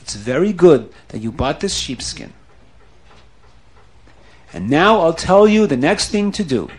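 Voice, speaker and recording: A man doing a calm, wise old rabbi. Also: fairly high pitch, measured pace. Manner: calm, assured, instructive.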